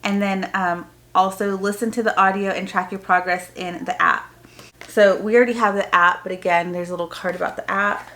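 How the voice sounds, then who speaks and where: A woman's voice: mid-range voice, fairly even intonation, brisk, pushed and bright, a little hiss or hum. A woman vlogger giving an informal, explanatory unboxing, chatting casually and reading printed bits aloud as she goes. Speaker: homeschooling parent vlogger.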